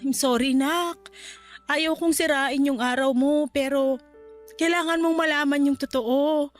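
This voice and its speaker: Radio drama role: protective, consoling mother, fairly high voice, careful and gentle phrasing. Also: steady tone, a little slow, faint music behind. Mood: pleading, tender. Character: gentle, protective mother breaking painful news. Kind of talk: Tagalog radio drama acting.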